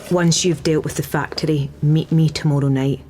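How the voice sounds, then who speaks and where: An actor playing a brisk, urgent British resistance contact. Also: male voice, a little high, steady tone, a little mellow, faint music behind, close to an everyday voice.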